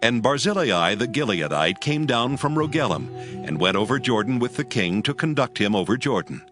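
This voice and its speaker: A man narrating scripture from a script in a measured, solemn storytelling manner. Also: mid-range voice, big pitch swings, music in the background.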